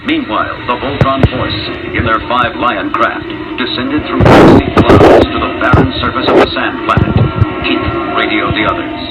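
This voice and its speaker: Male read-along narrator, mid-pitched, dramatic storytelling, loud music bed. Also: steady tone, normal speed, low-quality recording. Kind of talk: scripted children's storybook narration.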